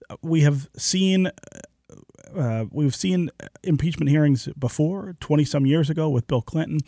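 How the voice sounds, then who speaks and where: A man hosting a public radio talk show, his voice hoarse and stuffy from a cold, speaking in a measured, conversational way. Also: mid-range voice, warm tone, no echo, studio quality. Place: radio studio. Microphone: studio microphone.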